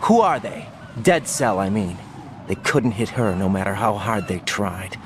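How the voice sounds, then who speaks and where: A man's voice: lowish voice, lively intonation, unhurried, background noise. An actor playing an anxious young special operative in a video game, urgent and incredulous as he questions things. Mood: uneasy.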